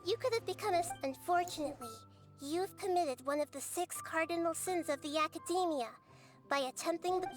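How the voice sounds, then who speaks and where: A high, childlike little goddess in a video game. She sounds wise and composed, speaking in measured, gently admonishing sentences over faint music. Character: small childlike female deity, wise, composed, gently stern.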